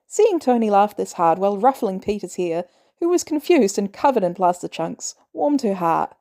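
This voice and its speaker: Woman reading a fanfic out loud, soft mellow tone, very animated pitch. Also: normal speed. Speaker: podfic narrator. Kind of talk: scripted fan fiction narration.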